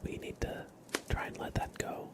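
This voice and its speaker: A man hosting an ASMR livestream, talking in a gentle, reassuring, soothing way. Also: normal speed. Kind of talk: whispered ASMR, soothing affirmations and chat to viewers.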